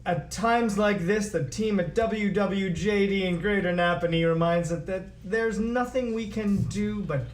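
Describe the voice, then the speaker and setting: Man acting high-voiced folksy radio emcee. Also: measured pace, hardly any pauses. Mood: somber. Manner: showy, hammy announcer patter. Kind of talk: announcing at a comedic script table read. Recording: roomy echo, noticeable background noise.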